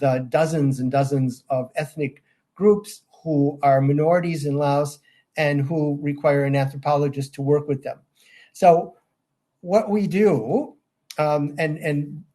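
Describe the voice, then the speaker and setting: An older man speaking on a video call with a slightly high-pitched voice. He answers in a measured way and often pauses with ums and restarts his sentences. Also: a little mellow. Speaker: guest, safeguards auditor. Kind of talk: interview answers.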